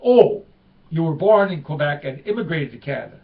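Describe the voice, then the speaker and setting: A man's voice: a little high, lively intonation, brisk, phone-quality sound. A man voicing a puzzled Norwegian tourist who speaks broken English.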